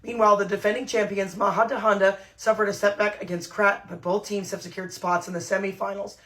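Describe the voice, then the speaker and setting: A woman hosting a news rundown podcast, reading scripted news in a brisk newsreader way with a hoarse voice. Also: mid-range voice, little pitch movement, fairly bright tone.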